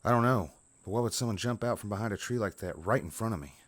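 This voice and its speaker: A man voicing a frightened, winded male jogger around forty with a halting, pleading manner in a horror story. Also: lowish voice, voice pushed up, natural voice. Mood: uneasy, grateful.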